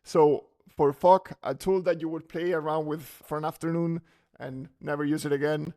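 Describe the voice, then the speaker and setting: Podcast cohost guy, casual chat, slightly high voice with lots of fry. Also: fairly even intonation, normal speed, warm tone. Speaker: podcast co-host.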